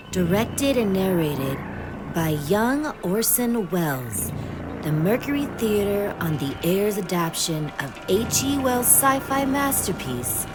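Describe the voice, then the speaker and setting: A woman's voice: mid-range voice, rough recording. A woman hosting a horror show, reading a scripted spooky introduction in a theatrical, suspenseful manner. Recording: music playing behind the voice. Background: prominent music.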